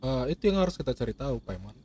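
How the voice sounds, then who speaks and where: Indonesian guy streaming a game, casual comments, lively pitch, noisy game audio behind. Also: rapid-fire.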